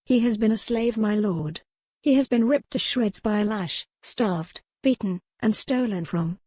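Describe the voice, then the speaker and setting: A woman's voice: mid-range voice, unhurried, a little mellow, no room sound, studio quality, lightly acted. A woman voicing an earnest, pleading young noblewoman in a historical romance audiobook, speaking in formal period phrases. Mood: earnest, defiant.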